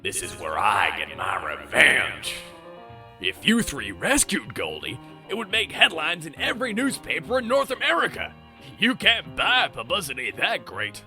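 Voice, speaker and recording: A man's voice: mid-range voice, wildly swooping pitch, normal speed, bright, forceful tone, soft background music, full character voice. A man acting a scheming, vengeful riverboat saloon owner as a theatrical villain in a fan comic dub. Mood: gloating, excited.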